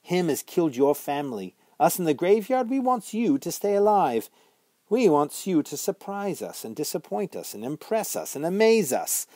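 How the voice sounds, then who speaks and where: A man's voice: sits high, very animated, normal speed, dark tone, dry recording, pitched above the performer's own voice. A man doing a scolding ghostly witch girl. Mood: pleading. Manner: chiding, archaic turns of speech.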